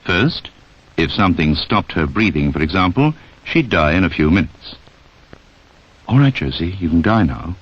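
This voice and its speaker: A deep-voiced man delivers scripted 1960s film narration. His intonation moves widely as he addresses the listener directly with pointed instruction. The soundtrack is dull and dated. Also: big pitch swings, moderate pace, a little hiss or hum. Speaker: narrator of a public information film.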